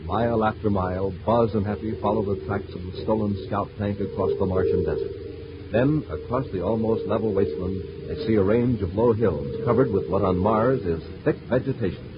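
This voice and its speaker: Dramatic low-voiced vintage radio announcer man. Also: natural voice. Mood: dramatic, scene-setting. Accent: American. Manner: dramatic, deliberate announcer delivery. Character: dramatic male space adventure serial announcer. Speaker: announcer and narrator. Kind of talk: 1950s radio adventure serial narration. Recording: muffled, hissy vintage radio recording.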